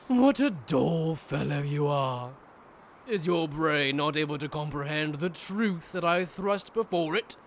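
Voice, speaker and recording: A man's voice: on the high side, animated, slow, slight background noise. A man playing a smug, cruel aristocratic villain who talks in a mocking, theatrical, wordy way in an anime-style dramatic dub. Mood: mocking, taunting. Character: smug, cruel, treasonous nobleman swordsman villain. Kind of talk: dramatic fan-dub voice acting.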